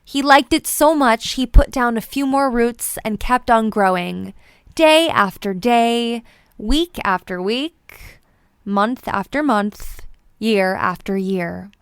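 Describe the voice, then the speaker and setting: A woman's voice: a little high, slow pace, clean tone, no echo, clean studio sound. A woman narrating a children's storybook expressively, with playful, dramatic emphasis. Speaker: children's book read-aloud presenter.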